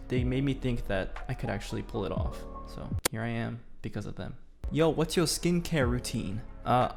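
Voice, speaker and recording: Young guy from korea, korean first language, jokey q&a chatter, mid-pitched, music going under it. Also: creaky. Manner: playful, self-deprecating, reads questions aloud. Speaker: YouTuber. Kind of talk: casual Q&A vlog.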